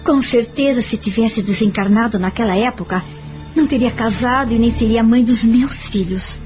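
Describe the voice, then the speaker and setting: An actress with a Brazilian accent playing a gentle, reflective woman friend in an audio drama, speaking earnestly and explaining. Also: a little high, moderate pace, close to an everyday voice. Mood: grateful, reflective. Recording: music behind the voice.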